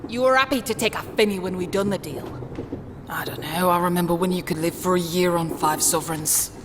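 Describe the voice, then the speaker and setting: A woman's voice: on the low side, fairly quick, lightly acted. A woman voicing an ancient, cantankerous London street woman who scolds and mutters in a comic dub, with a London accent. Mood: scolding. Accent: British, London. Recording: clearly noisy background.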